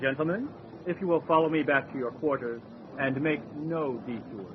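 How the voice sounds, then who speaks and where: An actor playing a suave, menacing recruiter of sailors, speaking formally and with cool politeness in an old-time radio horror drama. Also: male voice, fairly high pitch, normal speed, natural voice. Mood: stern courtesy. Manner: formal, wordy, coolly polite. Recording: muffled, noisy radio drama recording.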